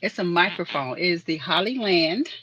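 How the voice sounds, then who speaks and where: A woman's voice: lowish voice, lively intonation, normal speed, fairly bright tone, husky, dry recording, highs cut off. A woman hosting a casual live-stream chat, who calls people honey and baby. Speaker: host.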